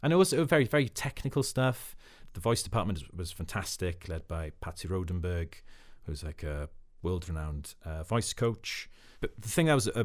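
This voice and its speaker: Deep-voiced Welsh actor, reflective. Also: male voice. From Wales. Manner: thoughtful, reflective, with frequent fillers. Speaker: actor guest. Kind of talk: casual interview.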